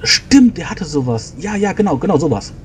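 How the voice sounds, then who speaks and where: Male role-player, soft dark tone, rambling explainer, noisy home voice chat. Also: fairly high pitch, sing-song, normal speed. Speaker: tabletop role-playing player. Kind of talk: in-character planning talk, improvised.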